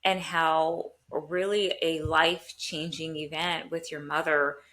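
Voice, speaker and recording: A woman's voice: sits low, flat delivery, takes it slowly, fairly bright tone. A woman hosting a faith podcast interview, enthusiastic as she introduces and questions her guest. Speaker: podcast host, coach.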